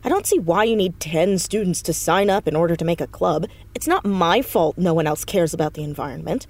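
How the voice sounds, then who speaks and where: An earnest teenage schoolgirl in an audio drama. Her delivery is natural and very animated, rising and falling freely as she argues her case with stubborn conviction. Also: on the low side, fairly quick. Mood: defensive, indignant. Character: earnest, stubborn fourteen-year-old schoolgirl activist.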